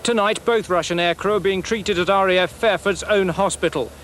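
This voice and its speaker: A man's voice: high-pitched, fairly quick, fairly bright tone. A British man narrating a scripted television news report as a reporter. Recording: archive TV report, noisy outdoor background.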